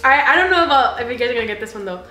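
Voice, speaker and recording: A woman's voice: a little high, lively intonation, racing, bright, forceful tone, echoey room, faint music behind. A young adult woman from Colombia, raised in the United States, chatting casually as she asks quiz questions. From born in Colombia, raised in the United States. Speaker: vlogger asking quiz questions. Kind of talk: casual chat. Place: indoor living room. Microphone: camera a few feet away.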